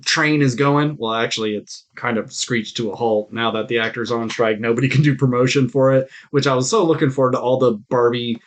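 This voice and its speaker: A man's voice: medium pitch, steady tone, fairly quick, few pauses. A man co-hosting a podcast in casual, jokey chat about films and TV, often acting out mock quotes. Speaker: podcast host.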